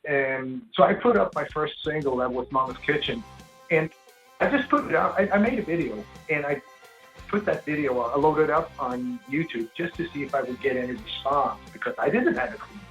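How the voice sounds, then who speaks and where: A man from Sweden with a big, gravelly voice, telling stories at length in a casual interview and often restarting his sentences. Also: on the high side, expressive, moderate pace, a bit bright, soft background music, rough recording. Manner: rambling storyteller, frequent restarts. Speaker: singer-songwriter guest.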